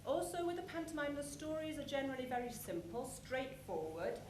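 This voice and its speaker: A woman's voice: high-pitched, very animated, brisk, a little mellow. Woman presenting in an echoey, noisy hall. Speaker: conference presenter. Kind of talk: prepared plenary presentation. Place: large conference hall with an audience. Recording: echoey conference hall, clear background noise.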